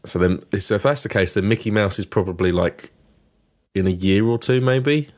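A man with a very deep voice chats in a dry, laid-back way as a podcast co-host. He pauses and hedges as he talks. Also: a little mellow. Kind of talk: casual podcast chat.

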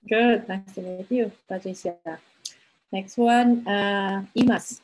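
A woman leads an online meetup over a video call, taking her time as she calls each person to introduce themselves. She speaks at an easy, unhurried pace in a mid-range voice. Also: medium pitch, steady tone, a little mellow, rough recording. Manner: unhurried, calls names one by one. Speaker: meeting host.

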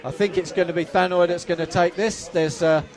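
Excitable play-by-play man, high-pitched, slightly worn voice, faint crowd behind. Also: a little fast. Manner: excitable, shouts at goals, self-mocking. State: losing his voice. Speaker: football commentator. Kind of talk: live play-by-play commentary. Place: outdoors at a football ground. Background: crowd noise.

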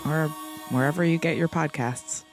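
A woman hosting a podcast, reading a scripted trailer in a measured announcing manner. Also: low voice, rough recording. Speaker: podcast host. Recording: narration over music.

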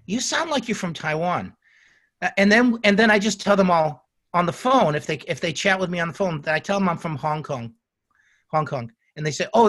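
A man's voice: high-pitched, fairly bright tone. A man in his sixties, a guest telling his career story in an interview, with frequent restarts. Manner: storytelling, self-correcting restarts. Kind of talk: interview answers.

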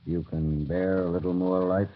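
An actor playing a patient, protective man in a mid-century radio drama, prompting simply and deliberately. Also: deep voice, little pitch movement, lightly acted. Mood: patient, coaxing. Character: patient, protective man tending a broken prisoner. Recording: muffled old radio broadcast.

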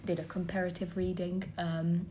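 A woman's voice: fairly low pitch, flat delivery, normal speed, warm tone, slight background noise. A woman in her twenties from Hungary with a Hungarian accent, co-hosting a podcast in casual, giggly chat full of "like". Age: 20s. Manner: giggly, chatty, lots of "like". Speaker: podcast co-host.

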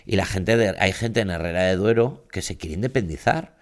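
A young man with a fairly low voice rambles through anecdotes on a comedy podcast. He teases his co-host, and his voice climbs high and loud when he gets worked up. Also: moderate pace. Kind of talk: casual comedy chat.

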